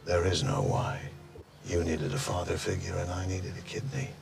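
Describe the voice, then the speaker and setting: Gravelly, callous older father. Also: deep voice, flat delivery, moderate pace. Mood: cold contempt. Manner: curt, dismissive. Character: cold, callous older father, a con man. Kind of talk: TV drama acting. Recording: noisy TV audio with ambience.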